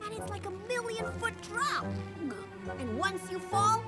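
A woman voicing a jittery, fretful little bug worrier in a children's cartoon, excitable and fretting. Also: very high voice, measured pace. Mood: panicky. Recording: loud background music.

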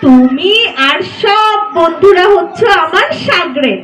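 Super high little puppet girl, bossy big sister leading games, drawn out storytelling, roomy echo. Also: lively intonation, a bit bright, heavily acted. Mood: theatrical, commanding. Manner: instructing, drawn-out storytelling delivery. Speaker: puppet show host. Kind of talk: children's puppet show performance. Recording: echoey room.

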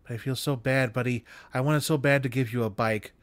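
A man voicing an affectionate, excitable elderly woman from a storybook, folksy and earnest in manner. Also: mid-range voice, brisk, smooth, no room sound, lightly acted. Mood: tender, wistful.

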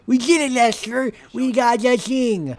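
A man's voice: very high voice, fairly even intonation, measured pace, a little hiss or hum, poor audio. A man in his twenties chatting casually while playing a video game, bossy and sarcastic, swearing freely. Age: 20s. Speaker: gaming host. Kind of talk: casual gameplay chat.

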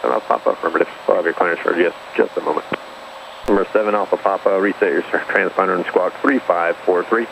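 Very fast, low male air traffic controller. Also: creaky, dull audio. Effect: aviation radio. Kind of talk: rapid procedural radio instructions. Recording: noisy band-limited aviation radio.

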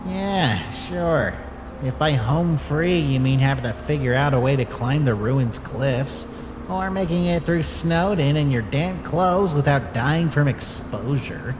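A woman's voice: low-pitched, expressive, unhurried, big-room sound, noisy background. A woman voicing an irritable, sarcastic talking flower in a dramatic comic dub. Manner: sarcastic, exasperated. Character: irritable, scornful talking flower, sharp but secretly worried.

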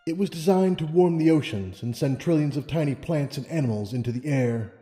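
A man's voice: fairly high pitch, brisk, mellow, close to an everyday voice. A man voicing a wise, serene female pony ruler in a storybook reading, with solemn, formal speech. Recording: long reverb, music underneath.

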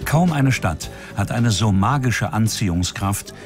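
Male documentary narrator, standard German, low voice with animated intonation, music underneath. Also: unhurried.

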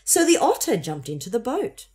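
A woman's voice: fairly high pitch, wildly swooping pitch, normal speed, a little mellow. A young woman narrating a children's story expressively as a storybook reader.

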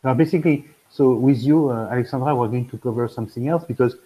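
A man's voice: mid-range voice, fast talker, mellow, breathy edge, rough recording. A man in his fifties with a French accent, talking on a video call as an admissions consultant, rambling with many fillers and restarts. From France. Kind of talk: video call webinar talk. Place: room at home.

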